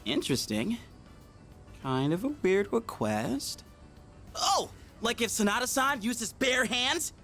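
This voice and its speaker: Slangy, animated teenage slacker guy. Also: very high-pitched, measured pace, full character voice. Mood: teasing, puzzled. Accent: American. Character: laid-back goofy teenage boy, self-conscious slacker buddy. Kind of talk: video game voice acting. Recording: music behind the voice. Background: game music.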